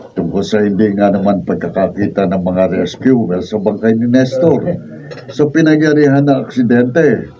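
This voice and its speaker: A Filipino man playing a thoughtful, doubtful villager who reasons things out deliberately in a radio drama. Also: fairly low pitch, lightly acted. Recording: muffled, distant mic, background noise.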